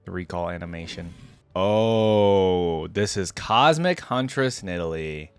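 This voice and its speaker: A man with a fairly low voice hosts a casual reaction video. He thinks aloud informally and exclaims often, while music plays clearly behind him. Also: animated, slow pace, no echo. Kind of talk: casual live reaction commentary. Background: game music and sound effects.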